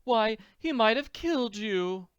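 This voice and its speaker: Wealthy comic-strip matron, male performer: haughty, melodramatic, high and smooth. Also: lively intonation, measured pace, no echo, highs cut off. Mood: indignant, gushing. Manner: genteel, imperious. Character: haughty wealthy woman, melodramatic and cold-hearted.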